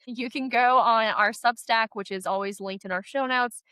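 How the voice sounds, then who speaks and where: Stuffy-nosed woman, bright crisp voice. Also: fairly high pitch, moderate pace, no echo. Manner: chatty, animated, laughs often. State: stuffy from a head cold. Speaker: podcast co-host. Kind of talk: casual chat.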